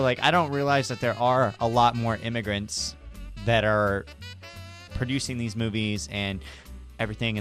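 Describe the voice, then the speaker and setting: A man's voice: medium pitch, fairly bright tone. A man hosting a radio show in an informal, conversational style. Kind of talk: casual radio chat.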